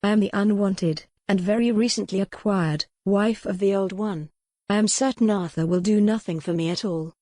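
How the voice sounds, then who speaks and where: A woman voicing a very young, frail noble widow, speaking formally with quiet wryness. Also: mid-range voice, moderate pace, no echo, studio quality, close to an everyday voice.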